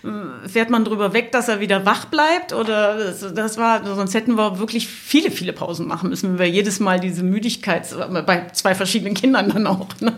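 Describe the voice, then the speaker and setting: A woman's voice: medium pitch, fairly quick, few pauses. A middle-aged woman speaking as a podcast guest, telling travel stories in a casual conversational way.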